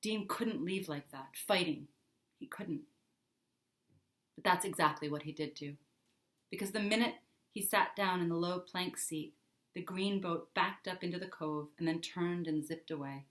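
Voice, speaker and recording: Low-voiced woman reading a children's story. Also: unhurried. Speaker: author reading own book. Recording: roomy, echoing indoor sound.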